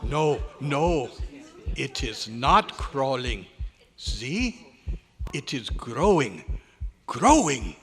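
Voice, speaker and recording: A man playing an excitable, authoritative German scientist in old-time radio horror, with a German accent and dramatic, formal, emphatic delivery. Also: medium pitch, very slow, pauses often, breathy edge, full character voice. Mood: insistent. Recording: live hotel meeting room, long echo.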